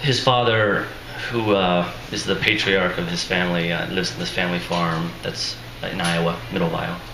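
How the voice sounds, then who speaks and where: Low-voiced man with a tired voice. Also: level tone, moderate pace, gravelly. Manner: self-deprecating, chuckling asides. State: strained, tired voice. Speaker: author at a reading. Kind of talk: casual introduction to a reading. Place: live reading venue with audience. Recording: echoey room, noisy ambience.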